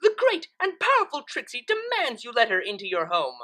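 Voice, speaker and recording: A woman's voice: fairly high pitch, wildly swooping pitch, a little fast, sharp tone, pitched above the performer's own voice, full character voice. A woman voicing an egotistic female unicorn magician in a storybook reading, pompous and talking of herself in the third person. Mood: demanding, boastful.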